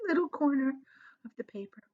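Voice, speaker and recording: Expressive woman reading a storybook, slightly muffled recording. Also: high voice. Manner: dramatic emphasis, drawn-out key words, brief chatty asides. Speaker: teacher reading a children's book aloud. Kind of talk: expressive storybook read-aloud for children.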